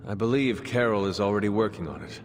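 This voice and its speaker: An actor playing a reserved, weary warrior hero in a video game, terse and formal in manner. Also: male voice, lowish voice, fast, a little hiss or hum, natural voice. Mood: measured. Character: reserved, weary ancient warrior man, stoic. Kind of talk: video game cutscene acting. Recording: hall reverb under music.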